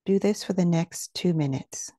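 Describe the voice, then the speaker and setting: Low, mellow woman guiding meditation. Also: dry recording, studio quality. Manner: slow, soothing, measured instruction. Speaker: guided meditation host. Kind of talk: guided meditation narration.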